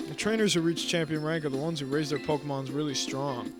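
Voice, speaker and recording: A man's voice: fairly high pitch, steady tone, fairly quick, music in the background, lightly acted. A man voicing a peppy, eager teenage girl battle champion, reading her lines aloud in an upbeat, bragging way while playing a game.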